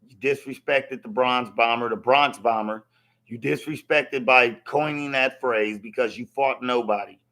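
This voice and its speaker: Hoarse man, casual vlog rant, blunt and emphatic, swears a lot. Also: medium pitch, normal speed, pushed and bright. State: hoarse from yelling. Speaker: vlogger reacting to a boxing match.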